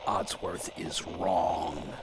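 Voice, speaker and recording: A man playing a grim, mysterious vigilante in a radio drama, speaking formally and ominously in a gravelly half-whisper. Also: deep voice, little pitch movement, a little hiss or hum. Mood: stern warning. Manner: slow, ominous, formal speech. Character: grim mysterious male vigilante, cryptic and resolute.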